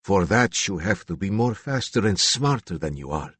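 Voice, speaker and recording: A man voicing a young, calm and dangerous Yugoslavian gunman with a Slavic accent and clipped broken English, in an audiobook. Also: low-pitched, moderate pace, breathy edge, dry recording, exaggerated voice. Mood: cool, matter-of-fact. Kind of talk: audiobook character dialogue.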